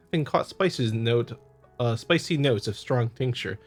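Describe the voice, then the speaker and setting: A man's voice: mid-range voice, expressive, a little slow, faint music behind. A man hosting a visual novel playthrough, chatting casually and reading aloud, joking and stumbling over the text. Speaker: host, gamer.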